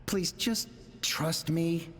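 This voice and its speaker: An actress playing a troubled, desperate grown daughter in a video game, pleading haltingly, with an echo on the voice. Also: female voice, lowish voice, slight background noise. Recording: long echoing reverb.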